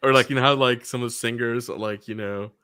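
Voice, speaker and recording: A man co-hosts a podcast in a relaxed, chatty way and laughs often. His voice sits at an ordinary middle pitch. The recording is close and dry, with no room sound. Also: fairly quick, smooth, no room sound. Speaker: podcast co-host. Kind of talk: casual podcast chat.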